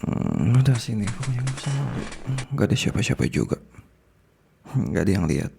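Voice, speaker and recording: A man playing a possessive, smitten male boss in an intimate ASMR roleplay, speaking haltingly with an Indonesian accent in a breathy, gravelly voice that slips into whispers. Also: medium pitch, big pitch swings, dark tone, a little hiss or hum. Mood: coaxing, seductive. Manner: halting, sighing, repeats words, slips into whispers.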